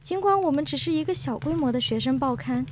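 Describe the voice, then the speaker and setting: Woman as a polite, high-voiced young reporter. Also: animated, fast. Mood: polite, earnest. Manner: courteous, apologetic, careful. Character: polite, earnest young female student magazine reporter. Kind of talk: radio drama dialogue. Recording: clear background noise and ambience.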